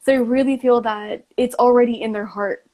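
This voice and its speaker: A woman's voice: fairly high pitch, steady tone, fairly quick, darkish tone. A woman hosting a podcast, talking casually and reflectively in a supportive, informal way peppered with "like". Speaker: podcast host.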